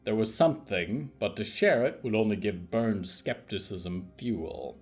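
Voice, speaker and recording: A man's voice: lowish voice, lively intonation, unhurried, darkish tone, soft background music. A man reading scripted narration for an audio fiction story.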